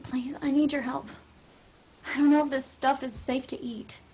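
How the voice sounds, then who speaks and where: A woman's voice: sits high, steady tone, moderate pace, dark tone. A woman playing a young girl trying to take charge, earnest and hesitant, in a table read. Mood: pleading, gentle. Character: young girl newly in charge, bossy yet pleading.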